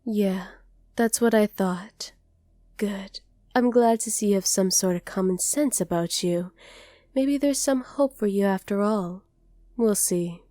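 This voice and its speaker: A woman voicing a haughty, possessive dragoness captor in an ASMR roleplay, speaking to the listener in a condescending, deliberate way. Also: medium pitch, dark tone, no echo, natural voice. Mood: smug, stern.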